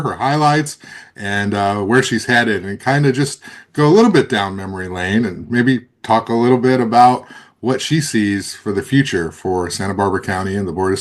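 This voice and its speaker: A man hosting a casual interview, asking long friendly questions with many fillers and restarts. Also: medium pitch. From California. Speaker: podcast host, interviewer.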